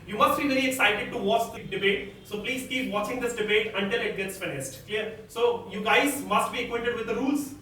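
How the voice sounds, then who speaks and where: A man's voice: very high-pitched, brisk, few pauses, bright, forceful tone, a little hiss or hum. A man with an Indian English accent, a teacher moderating a student debate in a classroom with firm prompts to his students. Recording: echoey room, picked up from far off.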